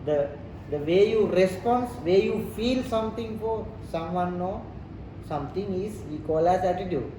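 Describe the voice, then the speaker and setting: A man with a Sri Lankan accent explains things step by step in simple non-native English, circling back over his phrases. His voice sits a little high for a man. It is picked up from some distance in a roomy space with clear background noise. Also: moderate pace. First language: not English. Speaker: interviewee explaining leadership and culture. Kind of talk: informal explanatory teaching talk.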